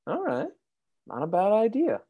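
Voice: medium pitch, huge pitch swings, moderate pace, mellow, slightly rough. An actor in a radio play voicing a mild, credulous older father and husband. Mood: musing. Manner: earnest, mild.

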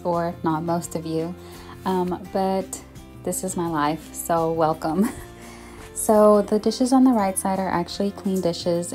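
A young woman chats to her viewers in a relaxed, friendly way as she cleans her kitchen. Her voice sits at an ordinary pitch, with soft music faintly under it. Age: young adult. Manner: chatty, reassuring, apologetic about the mess. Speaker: home vlogger. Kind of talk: casual cleaning vlog narration. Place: home kitchen, indoors.

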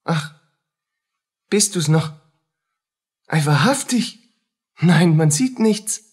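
Radio play casting: a feverish, jealous poor soldier, husky-voiced, unhurried, brooding in broken fragments. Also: male voice, a little high, lively intonation, full character voice. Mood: jealous, probing. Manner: halting, brooding, agitated fragments. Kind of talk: German radio play acting.

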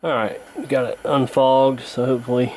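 A man talks through a repair job in a casual, unscripted way. His pitch is average and his intonation stays quite flat. He is recorded indoors at a workbench on a camera mic, with some background noise. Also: moderate pace, poor audio. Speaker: host, radio repairer. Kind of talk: casual hands-on repair narration. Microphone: handheld camera near the bench.

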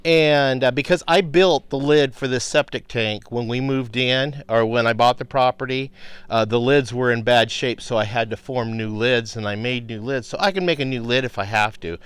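A man in his fifties explaining things casually to the camera outdoors, in a halting, thinking-aloud way. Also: mid-range voice, smooth, no echo. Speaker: homestead vlogger. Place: outdoors in a grassy rural yard.

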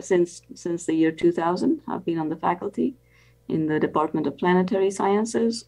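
An older woman, an academic guest, explains things on a video call in a careful, halting way with many pauses. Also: lowish voice, a little mellow, rough recording. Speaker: interview guest, professor and planetary scientist. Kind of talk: explanatory interview answers.

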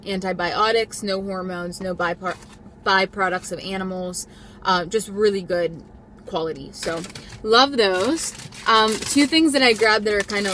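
A woman's voice: medium pitch, a bit bright, slight background noise, poor audio. A young woman vlogging casually from her parked car on a phone camera, rambling and chatty with frequent ums. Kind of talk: casual grocery haul vlog. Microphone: phone camera on the dashboard.

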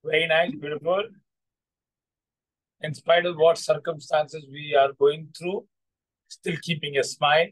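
A man with an Indian accent hosting as a coach, telling motivational stories in a halting, repetitive, encouraging way. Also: on the high side, flat delivery, dry recording, poor audio. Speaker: coach and host. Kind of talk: motivational storytelling to an online group.

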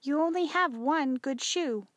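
A woman voicing a practical, kindly farm mother with a gentle, matter-of-fact manner in an audiobook. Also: sits high, measured pace, no echo, studio quality, pitched above the performer's own voice. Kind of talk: audiobook character voice.

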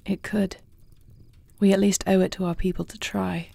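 A woman playing a poised young warrior princess in a fantasy audio roleplay, speaking with formal, measured, regal diction. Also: medium pitch, level tone, vocal fry, warm tone, dry recording. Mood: diplomatic. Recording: faint night cricket ambience.

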